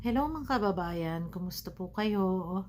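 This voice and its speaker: Filipino woman vlogging from home, low voice. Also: expressive, normal speed, a little mellow, slight background noise. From Philippines. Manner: hesitant, many fillers. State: recovering from asthma, feeling cold. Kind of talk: casual talk to camera. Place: at home, wrapped in a blanket.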